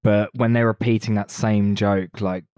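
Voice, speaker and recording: A man's voice: on the low side, level tone, moderate pace, darkish tone, no echo, clean studio sound. A man co-hosting a podcast, giving his views in a casual film discussion. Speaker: podcast co-host.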